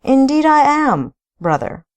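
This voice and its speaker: A woman's voice: high voice, very animated, unhurried, pitched above the performer's own voice. A woman voicing an easygoing younger brother with a breezy, dismissive manner.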